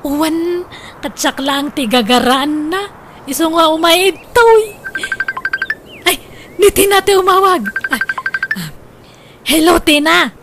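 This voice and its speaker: A woman playing an excitable, man-hungry older sister in a comedy radio drama, exclaiming theatrically. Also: very high-pitched, measured pace, long pauses, over music. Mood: excited, dismayed. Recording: faint background noise.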